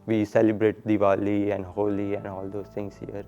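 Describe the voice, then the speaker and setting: A man's voice: lowish voice, completely flat delivery, moderate pace, mellow. A male graduate student from India, talking casually with many fillers in an interview. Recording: slightly dull lo-fi audio.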